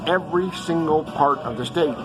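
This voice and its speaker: A man's voice: fairly high pitch, normal speed, poor audio. A man who grew up around Los Angeles gives a rambling monologue, listing one place after another with many fillers. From Los Angeles area, California. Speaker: host giving an earth-changes briefing.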